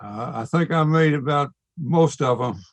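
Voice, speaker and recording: A man chatting casually on a video call as a club member, halting and repeating words. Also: fairly high pitch, no room sound. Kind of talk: casual chat. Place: online video meeting.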